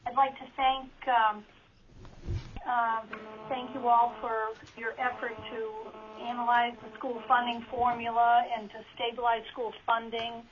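School board lady testifying, pretty monotone, muffled line with a bit of hiss. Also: on the high side, measured pace. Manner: plain, hesitant with fillers. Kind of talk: testimony at a legislative hearing. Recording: lo-fi teleconference line with faint hiss.

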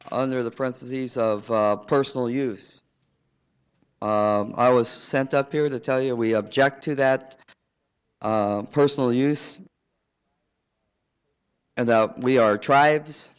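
Earnest man testifying, smooth resonant voice. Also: medium pitch. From southeast Alaska. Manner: earnest, halting, with restarts. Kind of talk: public testimony. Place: public hearing room. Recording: dull lo-fi hearing recording.

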